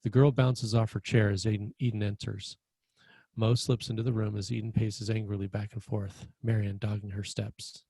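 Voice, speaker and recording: Casting: male screenplay narrator, dark relaxed tone, dry recording, straightforward scripted delivery. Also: on the low side, normal speed, vocal fry, dry recording. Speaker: stage-direction narrator.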